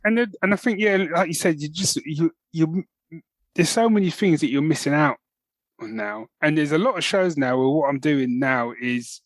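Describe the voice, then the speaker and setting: A man's voice: fairly high pitch, normal speed, no echo. A man co-hosting a podcast in casual conversation, thinking aloud as he goes in a slightly hesitant way. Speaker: podcast co-host. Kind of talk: casual chat.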